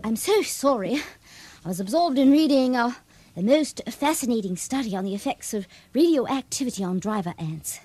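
A woman's voice: a little high, lively intonation, normal speed, warm tone, slight background noise, lightly acted. An actress playing a love-struck teenage schoolgirl, flustered and affectedly formal, in a radio drama. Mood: flustered, polite.

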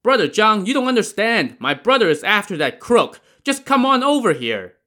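A man voicing a pushy male leader of armed pursuers who shouts insistently from a riverbank. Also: sits high, expressive, moderate pace, voice pushed up. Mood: pleading. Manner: shouting across distance, insistent.

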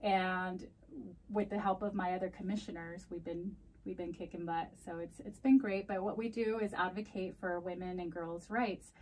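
A woman's voice: fairly low pitch, fairly even intonation, moderate pace, few pauses, darkish tone, distant mic, poor audio. A woman from the United States whose first language is Spanish, answering casually as a podcast guest and mixing Spanish and English mid-sentence. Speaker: podcast guest, community advocate. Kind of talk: casual bilingual interview answers.

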